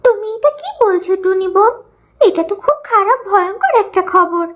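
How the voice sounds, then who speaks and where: A woman's voice: extremely high pitch, animated, normal speed. A woman voicing a small, anxious, motherly sparrow in a children's cartoon, with a worried dramatic manner. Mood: alarmed. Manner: pleading, dramatic worry. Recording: music behind the voice.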